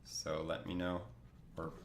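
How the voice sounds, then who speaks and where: A man's voice: sits low, monotone, a little slow, reverberant, slight background noise. A man chatting casually while drawing on a livestream, rambling with lots of fillers and restarts, a bit sniffly from a cold. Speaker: artist streaming a drawing session.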